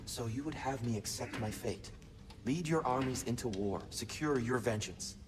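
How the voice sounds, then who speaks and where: An actor playing a weary, gentle, peace-loving god in a game, measured and formal, full of self-doubt. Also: male voice, medium pitch, music in the background, natural voice. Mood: dejected, doubtful. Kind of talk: dramatic video game acting.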